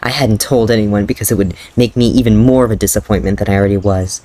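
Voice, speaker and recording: A man's voice: on the low side, steady tone, fast talker, mellow, no echo. A man doing a dramatic reading of his old fanfiction, breaking off into amused, self-mocking commentary and laughter.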